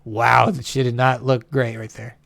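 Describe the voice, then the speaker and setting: A man's voice: mid-range voice, level tone, normal speed, creaky, a bit bright, no room sound. A man co-hosting a gaming show with casual, incredulous, sarcastic commentary on movie trailers, swearing freely.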